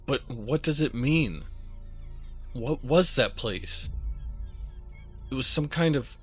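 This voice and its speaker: A man's voice: medium pitch, expressive, slow, husky, faint music behind. Casual, wry actor as a questioning male sidekick. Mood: bewildered. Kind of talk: audio drama acting.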